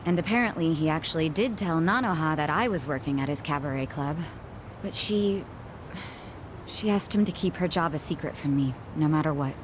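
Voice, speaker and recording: A blunt, guarded young bartender from a video game drama. Her female voice sits on the low side and she speaks frankly and curtly, with a clear vehicle rumble behind her. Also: lively intonation, normal speed. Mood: frank, explanatory. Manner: curt, frank, dismissive. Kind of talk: English video game dub acting.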